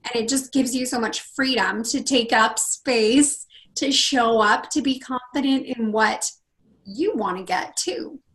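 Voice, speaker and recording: Husky, expressive woman guest. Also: unhurried. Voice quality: husky, hoarse voice. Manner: expressive, acts out quoted dialogue with big pitch jumps. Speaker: podcast guest, confidence consultant. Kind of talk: casual interview conversation.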